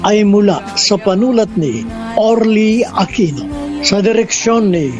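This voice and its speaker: A man's voice: high voice, expressive, a little slow, music in the background. A man with a Filipino accent announcing scripted radio programme credits as host.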